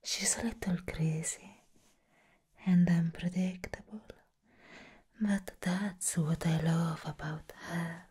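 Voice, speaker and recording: A woman playing a seductive, sinister plant villainess in a breathy whisper, as soft-spoken ASMR roleplay, slow and deliberate. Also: lowish voice, exaggerated voice.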